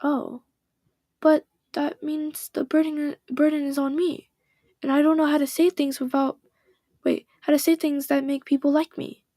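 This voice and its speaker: A woman voicing a shy, self-doubting teenage girl who speaks hesitantly, with a slightly hoarse voice. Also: high voice, flat delivery, normal speed, dark tone, dry recording, studio quality, natural voice. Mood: anxious.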